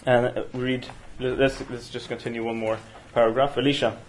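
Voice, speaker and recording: Male lecturer, Norwegian accent, mid-pitched, hesitant and full of restarts, faint hiss behind. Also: level tone, rough recording. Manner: halting, many fillers and restarts. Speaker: teacher leading a study session. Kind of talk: university seminar lecture. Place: university room. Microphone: single room mic.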